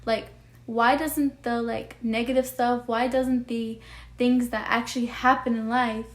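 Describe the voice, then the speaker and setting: Young woman vlogger, soft and childlike, a bit high, earnest and rambling. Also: level tone, unhurried. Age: young adult. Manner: earnest, rambling, full of "like" and "you know". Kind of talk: heartfelt personal talk to camera.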